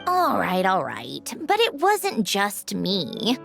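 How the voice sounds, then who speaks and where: An actress playing a proud, sharp-tongued mechanical genius in a video game, haughty and theatrical, sardonic but secretly caring. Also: female voice, medium pitch, wildly swooping pitch, slow, creaky, soft background music, full character voice. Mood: haughty, brushing off.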